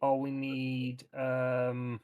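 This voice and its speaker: A man's voice: mid-range voice, monotone, extremely slow, clean tone, phone-quality sound. Blunt, sweary British man bantering. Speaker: player in a tabletop roleplaying game. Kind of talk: casual banter.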